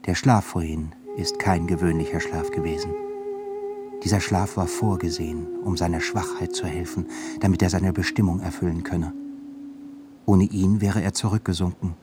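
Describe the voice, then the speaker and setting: A man narrating a radio drama in a measured, solemn way. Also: sits low, over loud music.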